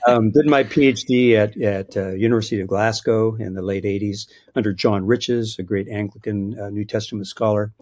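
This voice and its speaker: A man's voice: fairly low pitch, fairly even intonation, moderate pace, hardly any pauses, darkish tone. A man in his sixties, a biblical scholar answering interview questions in a reflective, hesitant way with frequent restarts. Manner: thoughtful, hesitant restarts, chuckles at his own points.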